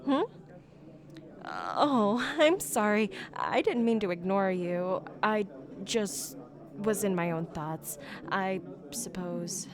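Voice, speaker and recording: A woman's voice: mid-range voice, slow pace, a crowd in the background, close to an everyday voice. A woman playing a shy, geeky young silver fox on a blind date, speaking politely and hesitantly in an ASMR roleplay. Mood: nervous, awkward. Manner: awkward, flustered, hesitant, politely formal. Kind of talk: ASMR roleplay, one-sided conversational acting.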